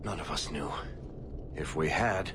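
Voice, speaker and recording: An actor playing a sly, unruffled dwarf storyteller in a video game, talking in a dry, measured way. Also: male voice, rumbling low, background noise. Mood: measured, defensive. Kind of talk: video game cutscene acting. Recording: loud music behind dialogue.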